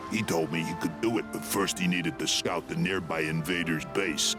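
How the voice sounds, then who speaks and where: Very low, gravelly, moody alien leader. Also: male voice, steady tone, moderate pace, music in the background. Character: suspicious, moody alien male club leader. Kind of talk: video game cutscene acting.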